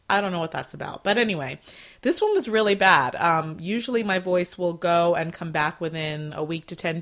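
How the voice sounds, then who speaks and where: A woman in her forties hosting a casual solo podcast from home, chatty and rambling, her voice still a little scratchy and clearing her throat after being sick. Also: on the low side. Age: 40s. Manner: chatty, rambling, with fillers and soft laughs. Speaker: podcast host.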